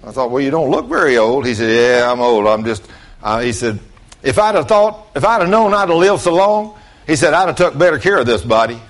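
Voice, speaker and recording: A man's voice: mid-range voice, sing-song, fairly quick. An older man, near seventy, preaches with a mid-range voice. He is folksy and plain-spoken, telling stories in an informal sermon and addressing his listeners directly. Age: late 60s.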